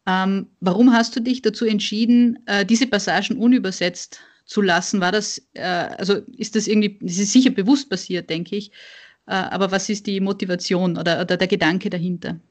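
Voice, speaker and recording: A woman interviewing an author, asking measured questions and often murmuring agreement. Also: medium pitch, steady tone. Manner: measured, supportive listener with frequent backchannels.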